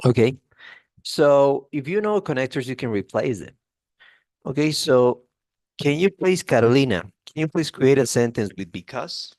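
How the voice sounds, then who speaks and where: A man's voice: medium pitch, animated, moderate pace, a little mellow, no room sound. A male English teacher giving a classroom grammar lesson, often checking in with "okay?" and restarting phrases.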